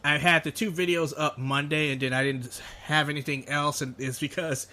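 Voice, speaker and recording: A man from Texas talks through his gameplay in a chatty, rambling way, sounding nasal and under the weather with a slight cough. His voice is bright and a little high. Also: fairly even intonation, normal speed. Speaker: gaming video host. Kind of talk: casual let's play commentary.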